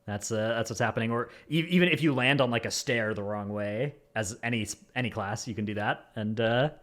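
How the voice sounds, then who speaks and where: A man's voice: lowish voice, fairly quick, a bit bright. A man hosting a gaming podcast in a casual, chatty style. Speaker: podcast host.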